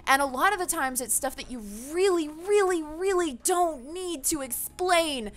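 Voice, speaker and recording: A woman's voice: very high voice, moderate pace, fairly bright tone. A woman giving a casual, opinionated rant to viewers, passionate and emphatic, with loose swearing, as a gaming video creator.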